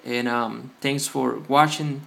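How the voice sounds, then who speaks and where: Halting, man hosting a tutorial. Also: medium pitch, steady tone, gravelly, a bit bright. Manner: hesitant, halting, self-effacing non-native English. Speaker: repair tutorial host. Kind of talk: casual hands-on tutorial talk to viewers.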